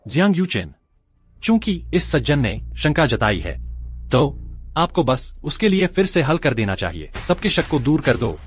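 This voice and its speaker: A formal ceremony official. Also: male voice, a little high, sing-song, normal speed, slightly rough. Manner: formal, announcing. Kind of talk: Hindi dub of a short drama. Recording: faint music behind.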